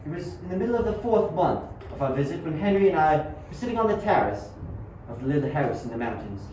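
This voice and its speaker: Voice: medium pitch, very animated, fast talker, darkish tone, close to an everyday voice. An amateur performer plays an anguished young scientist, dramatic and obsessive, in a homemade radio drama. The voice sits back from the mic in heavy echo, with a faint layer of noise. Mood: somber narrating. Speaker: amateur student performer and narrator. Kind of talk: amateur radio play acting and narration. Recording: very echoey, distant, faint noise.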